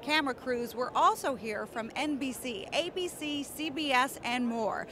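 A woman in her thirties hosting a trade show news report with crisp, upbeat broadcast delivery, speaking into a handheld mic on a convention floor. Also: high-pitched, moderate pace, noisy background. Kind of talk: scripted news presenting.

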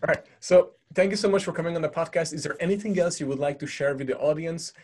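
A man's voice: a little high, fast talker, a little mellow. A man from Hungary with a Hungarian accent, hosting a casual podcast and thanking his guest often. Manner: friendly, effusive thanks, fillers. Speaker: podcast host.

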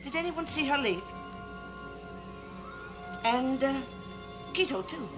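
An older woman playing a secretive, sinister servant in a gothic soap opera, deliberate and insinuating. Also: high-pitched, lively intonation, music in the background. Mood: ominous, insinuating. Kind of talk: 1960s gothic TV soap acting. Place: TV studio library set.

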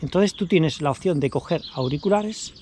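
Man, 40s, rambling vlogger, fairly high voice, faint outdoor hiss. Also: moderate pace. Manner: chatty, repeats himself, lively asides. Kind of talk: casual show-and-tell vlog. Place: outdoors by a car in a car park. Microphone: action camera held at arm's length.

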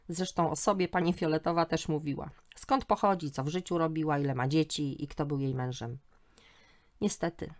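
Woman reading her funny story podcast, kinda low voice, dry and sardonic. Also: a little mellow. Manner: wry, sardonic. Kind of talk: humorous first-person scripted narration.

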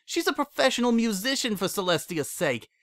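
A man's voice: high-pitched, a little fast, no room sound, studio quality, voice pushed up, exaggerated voice. A man doing Bon Bon, a heartbroken mare. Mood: sobbing. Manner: cold flat tone turning to sobbing. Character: heartbroken young female pony, flat and bitter.

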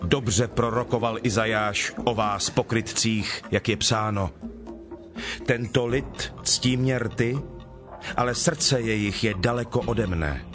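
An actor playing Jesus as a stern, earnest teacher in a dramatized scripture reading, with measured, emphatic delivery. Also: male voice, fairly low pitch, lightly acted. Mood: stern, reproving. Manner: measured, emphatic, solemn declamation. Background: music.

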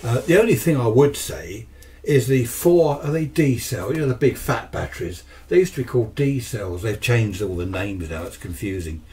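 A man's voice: mid-range voice, animated, moderate pace, warm tone, a little hiss or hum. A man, chatting casually and wryly through a rambling product review. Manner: rambling, wry asides, self-mocking. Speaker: presenter of a radio workshop channel. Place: small cluttered indoor radio workshop.

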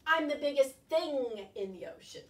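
A woman voicing a boastful, gleeful giant squid from a storybook, with loud drawn-out proud declarations. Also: high-pitched, animated. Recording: roomy, distant microphone, faint noise.

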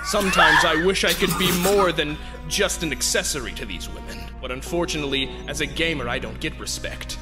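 A man voicing a smug, self-pitying gamer villain, a dark cartoon hedgehog in a comedy fan dub, boastful and theatrical. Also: fairly high pitch, fairly quick, few pauses, lightly acted. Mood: cackling, boastful. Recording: loud music behind.

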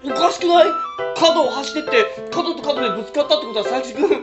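A woman playing a talkative, dreamy and easily exasperated high school boy in an improvised comedic radio drama. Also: fast talker. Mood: exasperated, hurried. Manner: animated, complaining. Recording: dull sound, loud guitar music behind.

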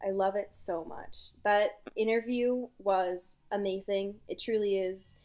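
A woman co-hosting a podcast in casual chat, giggling often and trailing off softly at the ends of sentences. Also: medium pitch, fairly even intonation, measured pace. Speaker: podcast co-host.

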